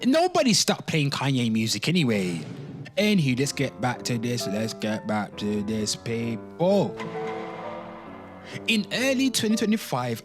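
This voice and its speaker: A man hosting a commentary show, mixing dramatic reading with informal, sweary ranting, drawing words out theatrically and addressing listeners directly. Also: mid-range voice. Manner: theatrical, drawn-out words and exclamations. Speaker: host of a pop culture commentary show.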